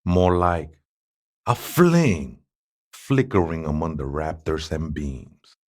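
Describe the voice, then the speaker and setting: A man's voice: a bass voice, expressive, slow, darkish tone, full character voice. An actor playing a serene, devout ex-gang man with a Mexican accent who talks in laid-back, reverent street slang for a video game. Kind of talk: video game character dialogue.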